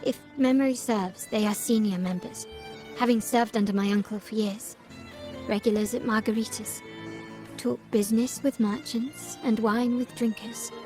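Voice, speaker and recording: An actress playing a poised young heiress of a crime family in a video game, speaking formally and precisely. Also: female voice, on the high side, fairly even intonation, normal speed, a little mellow, natural voice. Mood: composed, businesslike. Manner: composed, formal, articulate. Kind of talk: scripted video game dialogue. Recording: music behind.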